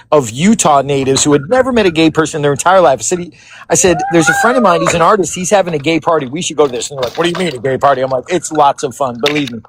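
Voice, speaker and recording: A man on a casual live-streamed debate panel, telling a long joking story. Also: fairly high pitch.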